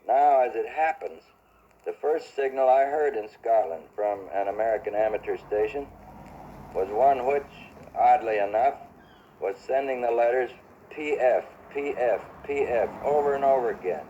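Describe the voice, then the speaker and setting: A man telling a first-person reminiscence in a measured, wry way with old-fashioned formal phrasing. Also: mid-range voice, warm tone, slight background noise. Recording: dull archival radio recording.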